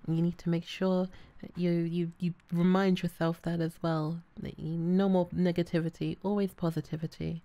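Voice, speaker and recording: A woman's voice: lowish voice, level tone, moderate pace, mellow. A woman speaks informally and affectionately to her listeners as the creator of an audio roleplay. She is encouraging, in the loose style of a casual outro.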